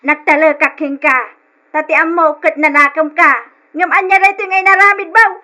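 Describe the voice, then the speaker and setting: Bright, high-voiced Ilocano mother. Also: fairly even intonation, normal speed, faint music behind. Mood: alarmed. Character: caring, concerned middle-aged mother. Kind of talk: old-style radio drama acting.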